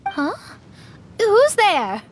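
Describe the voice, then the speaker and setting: A woman's voice: extremely high pitch, wildly swooping pitch, very slow, faint music behind, exaggerated voice. A woman playing a proud, dramatic young astrologer in a video game. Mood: inquisitive. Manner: haughty, theatrical. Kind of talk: video game character acting.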